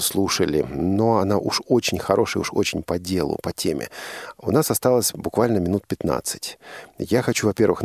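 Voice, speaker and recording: A man with a fairly low voice hosts a live radio programme. He speaks conversationally, with informal, chatty address. The sound is dry and studio-like. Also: darkish tone, no echo. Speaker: radio host.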